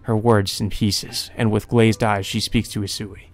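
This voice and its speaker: A man's voice: fairly low pitch, little pitch movement, measured pace, soft background music. A man hosting a let's play, reading visual novel narration aloud and adding his own off-the-cuff reactions.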